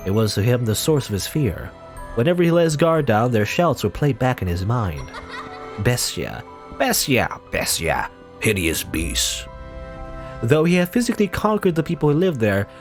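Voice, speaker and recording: A young man reads a gothic visual novel aloud with dramatic flair, his pitch swinging widely. He drifts into rambling asides. Faint game music runs underneath. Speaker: let's player.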